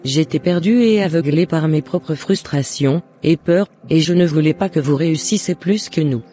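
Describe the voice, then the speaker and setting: Man voicing a high-pitched jealous neighbour woman. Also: normal speed, dark tone, soft background music, lightly acted. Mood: remorseful confession. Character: jealous, scheming woman neighbour turned remorseful.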